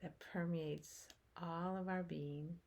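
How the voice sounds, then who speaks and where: A woman guiding a meditation as a teacher, speaking in short phrases with long pauses and hushed endings. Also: lowish voice, fairly even intonation, creaky. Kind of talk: guided meditation, slow spoken instruction.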